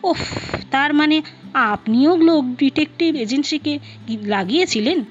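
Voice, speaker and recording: A woman's voice: high-pitched, lively intonation, unhurried. A woman storyteller voicing a curious, easily flustered young woman with a questioning manner. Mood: surprised. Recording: dull lo-fi sound with music behind.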